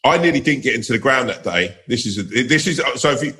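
A man's voice: medium pitch, animated, fast talker, pushed and bright. A man hosting a podcast, telling a self-mocking anecdote in a casual, laughing way. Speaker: podcast host. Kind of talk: casual storytelling.

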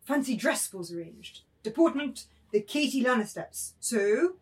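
Woman playing a preening dancing master, swooping pitch. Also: very animated, unhurried. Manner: affected, declaiming, dropping into French. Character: flamboyant male dancing master, preening and pompous. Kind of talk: theatrical literary table reading.

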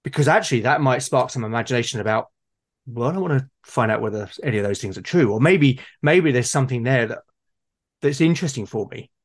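A man with an average-pitched voice talks in a casual, discursive way. His delivery is halting, and he often repeats a word while he works out the idea. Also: animated. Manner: halting, repeats words while forming ideas. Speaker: conversation partner. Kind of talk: casual discussion of role-playing games.

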